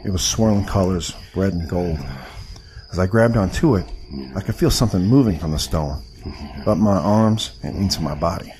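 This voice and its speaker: Young guy actor telling his period adventure story, low voice, faint noise behind. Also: moderate pace, a little mellow, natural voice, low-quality recording. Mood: awed. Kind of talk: dramatic scripted narration.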